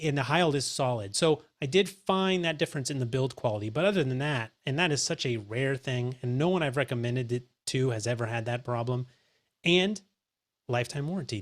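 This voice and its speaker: Animated, opinionated man hosting. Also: mid-range voice. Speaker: host. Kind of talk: casual roundtable chat. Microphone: dynamic mic pointed up at his face.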